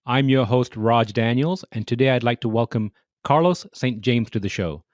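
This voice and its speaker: A man's voice: medium pitch, normal speed, no echo, clean studio sound. A man hosting a podcast, with polite, prepared introductions and questions for his guest. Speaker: podcast host. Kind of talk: interview hosting.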